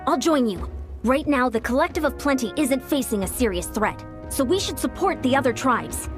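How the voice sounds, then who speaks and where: High-voiced young warrior. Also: female voice, expressive, normal speed. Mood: determined. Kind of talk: video game voice acting. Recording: faint music behind the voice.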